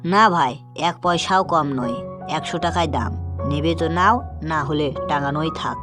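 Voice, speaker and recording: A woman's voice: low voice, unhurried. A woman voicing a blunt, hard-bargaining shopkeeper with a brusque manner in a cartoon folk tale. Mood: firm haggling. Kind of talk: cartoon story dubbing. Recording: loud music under the voice.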